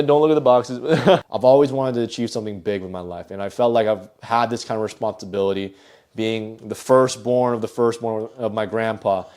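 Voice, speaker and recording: A co-founder giving a relaxed, self-deprecating house tour at home for his vlog. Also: on the low side, clear, resonant tone. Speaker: co-founder of the community. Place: home living room.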